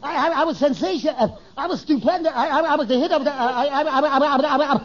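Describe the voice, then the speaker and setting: A man playing an excitable, stuttering little barber in a 1950s American radio sitcom, eager and prone to boasting. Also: very high voice, quick pace, heavy background noise. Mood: boastful, excited. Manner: stuttering, gets stuck on words. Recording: muffled old radio recording.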